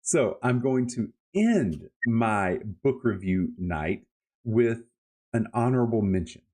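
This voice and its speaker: A man's voice: mid-range voice, expressive, slow pace, mellow. A man chatting casually and emphatically about books as a podcast host, with enthusiastic, colloquial delivery. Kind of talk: casual book-review chat.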